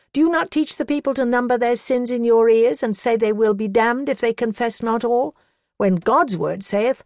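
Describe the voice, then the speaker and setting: A woman's voice: a little mellow, no room sound, studio quality, close to an everyday voice. A woman voicing a defiant, pious woman who scolds her accusers with rising passion. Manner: impassioned, scolding, rising pitch.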